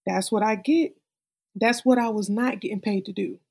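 A woman performing a dramatic monologue as an anxious, exasperated actress confessing her stage fright in a halting, emphatic way. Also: medium pitch, gravelly, a little mellow, slightly rough.

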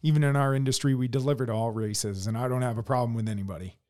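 A blunt American man holding forth in casual podcast talk, cursing freely and tripping over his own restarts. His voice sits at an ordinary middle pitch. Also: fairly even intonation, racing, a little mellow. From United States. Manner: blunt, heavy swearing, many restarts. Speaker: podcast co-host. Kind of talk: casual opinion chat.

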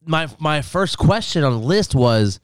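A man's voice: fairly high pitch, expressive, unhurried, clear, resonant tone, no room sound, studio quality. A man hosting a casual chat, asking questions, laughing often and repeating words as he talks.

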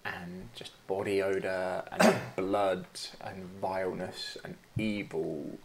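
A man running a tabletop game as game master, laughing often and dropping to a slow, hushed delivery for dark narration. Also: low-pitched, fairly even intonation, very noisy.

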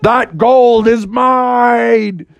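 A man's voice: extremely high pitch, fairly even intonation, extremely slow, creaky, no echo, muffled recording, voice pushed up, full character voice. A man voicing a greedy male bear in a told story, drawling and bellowing about gold.

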